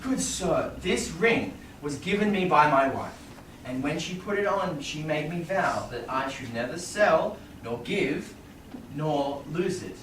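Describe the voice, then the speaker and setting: A man playing an earnest, grateful young gentleman in a Shakespearean stage reading. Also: fairly high pitch, lively intonation, a little slow. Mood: reluctant, pleading. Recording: distant echoey small theatre, background noise.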